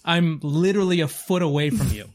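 A man's voice: fairly high pitch, fairly quick. A man, a comedian, teasing his friends and laughing often in casual podcast banter.

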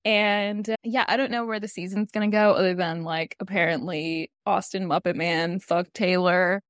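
A young woman co-hosting a podcast, gossiping in a rambling, animated way full of "like"s. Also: lowish voice, normal speed, no room sound, studio quality. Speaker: podcast co-host. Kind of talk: casual chat.

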